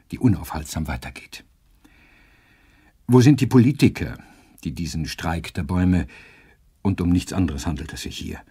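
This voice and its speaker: Grave old-school professor on the radio, really low and dark, pleading and indignant. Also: male voice, breathy edge. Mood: urgent, pleading. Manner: solemn, rhetorical, pleading. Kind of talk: radio drama commentary.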